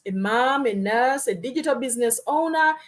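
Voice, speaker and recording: A woman's voice: on the high side, expressive, normal speed. Earnest woman from Uganda hosting a live. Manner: earnest, many "you know" and "hmm?" tags. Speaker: host. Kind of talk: casual live-stream talk.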